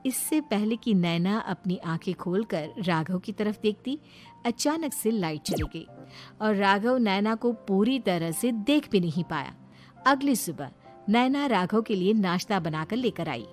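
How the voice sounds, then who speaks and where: A woman's voice: mid-range voice, expressive, unhurried, faint music behind. A woman narrating a cartoon story in a plain storytelling manner.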